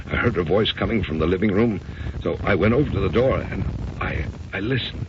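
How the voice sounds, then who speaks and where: An actor playing an older, grieving husband in an old-time radio drama, anguished and apologetic, speaking haltingly and broodingly. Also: male voice, sits low, lightly acted. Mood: troubled, apologetic. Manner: hesitant, brooding, halting delivery. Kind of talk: old-time radio drama acting and first-person narration. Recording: dull, noisy old radio recording.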